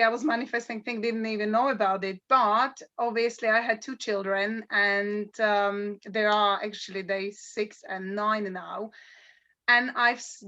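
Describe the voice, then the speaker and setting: A woman with a bright, clear-edged voice, telling her own story as a guest. She speaks earnestly, sometimes pausing to search for the right word. The audio is dull and lo-fi, like call audio. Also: medium pitch. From Slovakia. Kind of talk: personal testimony on a call.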